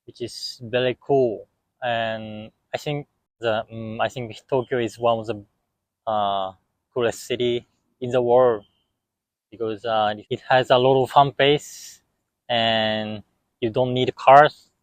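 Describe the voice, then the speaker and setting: A young man from Japan with a Japanese accent, a student answering interview questions haltingly, often pausing to search for words. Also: medium pitch, steady tone, no echo, low-quality recording.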